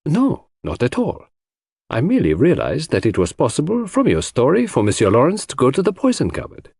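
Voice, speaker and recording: Guy doing an old belgian detective in an audiobook, very courteous and sly, voice a bit husky, swoopy pitch. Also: huge pitch swings, brisk, darkish tone, no echo, studio quality, full character voice. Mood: explanatory. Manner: precise, courtly phrasing sprinkled with French address. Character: elderly Belgian gentleman detective, enigmatic, courteous, self-assured. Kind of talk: audiobook character voice.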